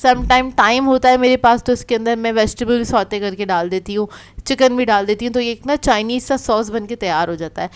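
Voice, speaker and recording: Woman vlogger, casual voice-over. Fairly high, smooth voice, slightly affected by a sore throat, chatty and explanatory. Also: brisk. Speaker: vlogger narrating her day. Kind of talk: casual conversational vlog voice-over.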